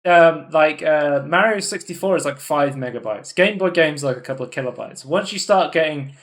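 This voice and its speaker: A man's voice: a little high, fairly quick. A man co-hosting a live gaming podcast, chatting casually and rambling through tech news with lots of fillers and restarts. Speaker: podcast co-host. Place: home room, live stream.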